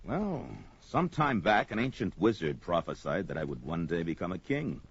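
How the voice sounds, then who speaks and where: An actor playing a young, wary, mercenary barbarian warrior with a bold, declamatory manner in an audio drama. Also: male voice, deep voice, very animated, moderate pace, slightly rough, full character voice. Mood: wary, bargaining. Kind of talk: 1970s audio drama record acting. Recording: dull vintage story record with music.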